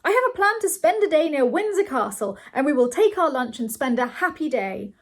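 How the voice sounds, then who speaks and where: A woman voicing a hearty, fervent, pompous gentleman in a comic storybook, with emphatic delivery. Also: on the high side, animated, moderate pace, slightly rough. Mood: eager, fervent. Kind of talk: comic storybook character reading.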